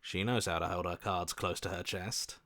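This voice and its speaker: A man's voice: low voice, steady tone, normal speed, a bit bright. A man voicing a scheming, self-serving opportunist in a video game, with a sly, ingratiating, theatrical manner. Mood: smug, sly. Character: scheming, self-serving, weaselly opportunist voice inside the hero's head.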